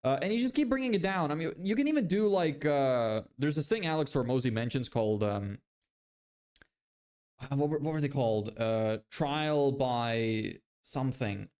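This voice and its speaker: Lithuanian guy in his 20s live streaming, chill and casual, lots of ums and restarts, mid-pitched voice. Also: normal speed. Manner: relaxed, reads viewer questions aloud, frequent fillers and restarts. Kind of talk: casual live-stream chat, explaining sales tactics.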